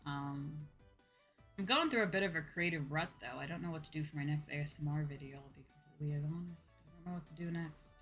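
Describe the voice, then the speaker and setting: A young woman chatting casually to viewers on a livestream in an expressive, rambling, self-deprecating way. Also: deep voice. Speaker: VTuber streamer. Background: faint background music.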